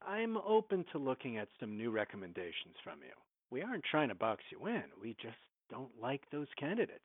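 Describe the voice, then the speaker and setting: Condescending studio boss on the phone. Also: male voice, mid-range voice, huge pitch swings, brisk, husky, no echo. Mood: cool, dismissive. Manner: condescending, clipped, authoritative. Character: smooth, evasive, domineering male film studio executive. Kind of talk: modern audio drama acting. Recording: thin phone-line sound, very dry.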